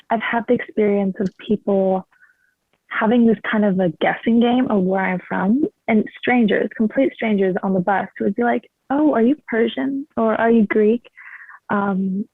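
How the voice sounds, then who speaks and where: A woman's voice: a little high, no room sound. Woman on thin, phone-like call audio. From Canada. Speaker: meetup participant. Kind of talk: personal sharing in casual talk. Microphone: video call.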